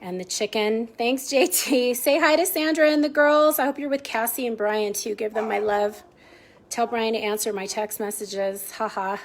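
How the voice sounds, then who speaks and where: A woman's voice: a little high, moderate pace, a little hiss or hum. A woman hosting a casual live cooking stream from her home kitchen, chatty, greeting viewers by name and easily sidetracked. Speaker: host of a cooking livestream.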